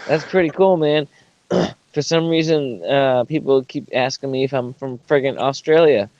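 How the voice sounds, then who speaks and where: A man's voice: medium pitch, moderate pace, a little mellow, dry recording, dull audio. A man with a Boston accent chatting casually in an online hangout, rambling and laughing at himself. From New England. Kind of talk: casual group chat.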